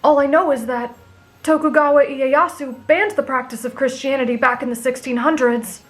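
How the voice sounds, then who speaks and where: A woman voicing a kind, naive teenage boy demon slayer with a gentle, sincere way of explaining things, in an anime fan audio roleplay. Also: sits high, fairly even intonation, normal speed, faint music behind, close to an everyday voice. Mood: kind, explanatory.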